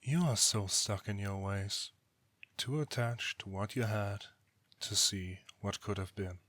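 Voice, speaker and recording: Man acting a proud, cold, low-voiced god. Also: a bit bright, dry recording. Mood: scornful. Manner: formal, haughty, measured rhetoric. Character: proud, cold, disdainful male god, estranged brother. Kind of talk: scripted audio roleplay drama acting.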